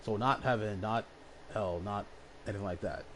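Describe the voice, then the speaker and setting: A man's voice: lowish voice, normal speed, slight background noise. A man streaming a game and explaining the story casually, rambling with plenty of fillers. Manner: rambling, many fillers, relaxed. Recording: game music behind the voice.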